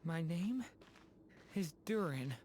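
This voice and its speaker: An actor voicing a gentle, earnest young dragon boy in a video game, speaking haltingly. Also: medium pitch, expressive, slight background noise. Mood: weak, lost.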